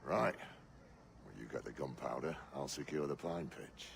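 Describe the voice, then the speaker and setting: An actor playing a coarse, hot-tempered pirate captain with a gravelly, cursing delivery. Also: male voice, very deep voice, unhurried, background noise, full character voice. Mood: scheming.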